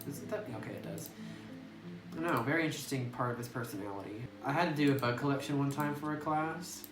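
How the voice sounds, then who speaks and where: A man's voice: mid-range voice, fast talker. A man casually commenting as he plays a game, musing with hesitant restarts. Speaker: game streamer. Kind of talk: let's play commentary. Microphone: phone mic away from speaker. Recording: roomy distant phone recording with music.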